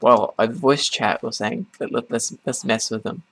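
A man giving casual, rambling gameplay commentary in a halting way as host of a gaming video. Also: mid-range voice, steady tone, gravelly, a bit bright. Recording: noisy, keyboard and mouse clicks.

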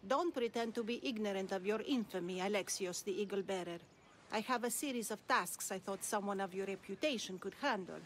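A woman's voice: medium pitch, a little fast, slight background noise, natural voice. A woman playing a poised, cunning, mysterious quest giver in a video game, formal, teasing and self-assured. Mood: knowing. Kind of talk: video game cutscene acting.

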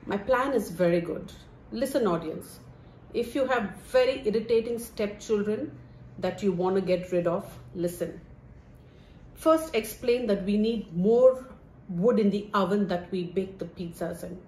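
A woman's voice: medium pitch, measured pace, a little mellow, natural voice. A woman playing a greedy, scheming middle-aged stepmother in an amateur comedy, theatrical and complaining. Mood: smug, scheming. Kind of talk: amateur comedy stage-style acting. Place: indoor home living room. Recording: echoey room with faint noise.

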